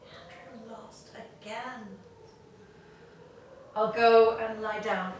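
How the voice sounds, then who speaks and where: An exhausted, self-pitying working woman, played by an actress in an audio drama. She complains wearily and slowly in a fairly high voice, heard from a distance in a very echoey space. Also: little pitch movement. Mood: weary, drained. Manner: weary, complaining. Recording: very echoey, distant, faint noise.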